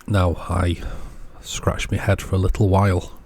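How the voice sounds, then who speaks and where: A man narrating an electronics repair walkthrough as host, in a measured, matter-of-fact way. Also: low voice, level tone, a little mellow, husky.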